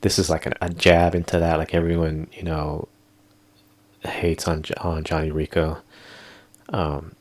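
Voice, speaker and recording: A man's voice: a bass voice, completely flat delivery, a little slow, long pauses. A man hosting a casual solo podcast, reading tweets aloud and rambling through his comments with laid-back asides. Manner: laid-back, rambling, chuckling asides, lots of "dude" and "you know". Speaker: podcast host.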